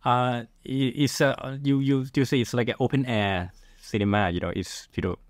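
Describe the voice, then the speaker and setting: A man's voice: medium pitch, fairly even intonation, normal speed, no echo, clean studio sound. A middle-aged man from Thailand with a Thai accent, answering casually as an interview guest, often searching for words. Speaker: podcast guest, film director.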